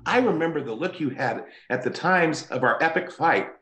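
Actor doing a pleading killer doll trying to make amends, swoopy pitch, echoey room. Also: expressive, normal speed, a bit bright, natural voice. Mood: pleading, apologetic. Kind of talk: dramatic scene performed over video call. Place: indoor room, remote video call. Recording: roomy echoing video-call audio.

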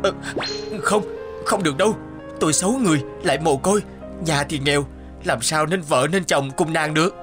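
A man's voice: fairly high pitch, normal speed, natural voice. A man playing a kind, humble, poor young villager in a cartoon folktale, speaking earnestly. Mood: hesitant, humble. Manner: earnest, self-effacing. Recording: music behind the voice.

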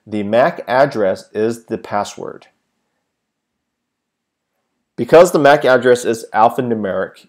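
A man's voice: medium pitch, darkish tone. A man giving a plain, methodical step-by-step how-to narration, with frequent filler sounds, as a tech tutorial presenter.

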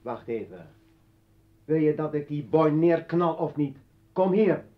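A man's voice: on the high side, animated, measured pace. An actor playing a bitter, armed revolutionary poet and father in a radio play, taunting and commanding. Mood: threatening, commanding. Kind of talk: Dutch radio play acting. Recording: dull old radio recording.

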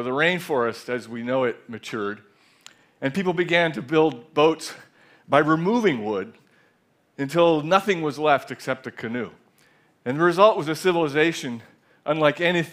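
A man in his sixties telling a measured, reflective story in a talk on a large theater stage, with a headset mic. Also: fairly high pitch, lively intonation, fairly bright tone. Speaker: speaker. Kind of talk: conference talk.

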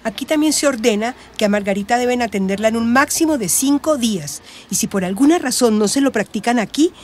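A woman's voice: on the high side, animated, brisk, darkish tone. A woman playing a firm, determined women's rights helper in a radio drama. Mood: firm, assertive.

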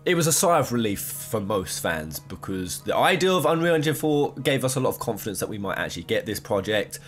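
A young man hosting a gaming video, giving opinionated commentary to camera with an animated, rambling delivery full of restarts, recorded on a desk mic in a small room. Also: fairly low pitch, fairly quick, soft background music. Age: young adult. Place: small room, gaming chair, green-screen backdrop.

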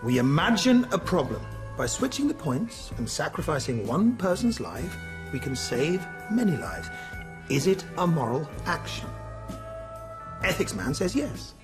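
A brisk, schoolmasterly philosophy teacher in a radio drama. He lectures emphatically in a fairly high, very animated voice, with music clearly underneath. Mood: didactic. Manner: didactic, emphatic.

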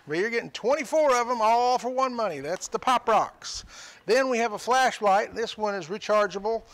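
A man's voice: high voice, expressive, moderate pace, a bit bright. An older man hosting an auction show, casually describing items for sale with folksy jokes. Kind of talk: casual on-air sales pitch. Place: small studio room with sale items.

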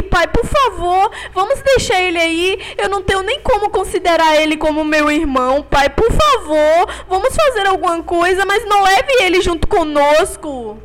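A woman's voice: very high-pitched, a bit bright, slight background noise. A woman with a Brazilian accent voicing a scared, hostile young male dinosaur who whines and threatens. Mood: whiny. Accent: Brazilian Portuguese. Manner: whiny, threatening.

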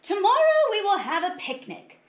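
A woman voicing a brisk, pleasant female schoolteacher in a storybook, announcing to her class. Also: very high voice, big pitch swings, fairly bright tone, roomy sound, voice pushed up, heavily acted.

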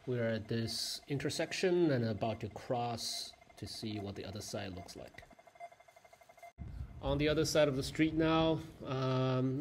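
A man narrating a casual travel vlog as he walks through streets, a mall and a subway station, halting with many fillers and self-corrections. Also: fairly low pitch, a little mellow, slight background noise. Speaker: travel vlogger.

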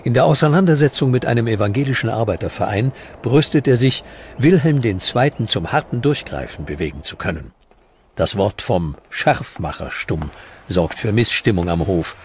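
A man's voice: on the low side, animated, normal speed, slight background noise. A man reading scripted narration for a historical documentary.

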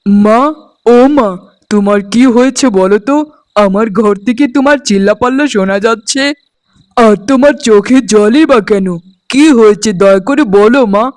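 A man's voice: sits high, moderate pace, no room sound, lightly acted. A man playing an angry, devoted young husband and son in a melodramatic, emphatic cartoon style. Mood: concerned. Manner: emphatic, scolding, dramatic.

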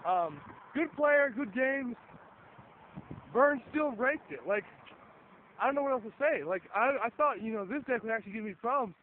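A man's voice: very high-pitched, expressive, moderate pace, pauses often. A tired young man losing his voice, rambling casually while walking outdoors with a phone at arm's length. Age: young adult. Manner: rambling, filler-heavy, swears casually. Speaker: vlogger. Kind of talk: casual vlog. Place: outdoors, walking along a windy suburban road. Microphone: phone held at arm's length, earphone mic. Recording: tinny phone-like sound with wind noise.

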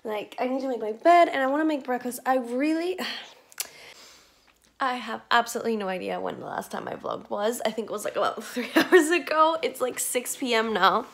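A woman in her twenties talks casually into a phone held at arm's length in a small bedroom. She chats with lots of "like" and quick giggles. Her voice is a little croaky. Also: fairly high pitch, lively intonation, a bit bright. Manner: chatty, lots of "like", giggly asides. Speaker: vlogger. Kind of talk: casual vlog chat.

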